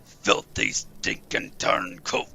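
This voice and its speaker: A man playing a big-hearted, rough groundskeeper in an audio play, gruff and emotional, with a gravelly voice. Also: slow, pushed and bright, a little hiss or hum. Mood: bitter anger.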